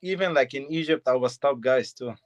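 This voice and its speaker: A young man from Syria, answering interview questions in short, halting phrases of simple English. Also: mid-range voice. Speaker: fighter, guest.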